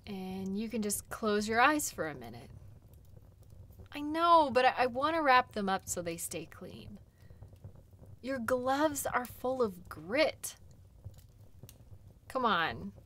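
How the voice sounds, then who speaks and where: A woman playing a friendly, caring dog sled guide in an intimate audio role play, gentle and teasing. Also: a little high, sing-song, takes it slowly, pauses often, full character voice.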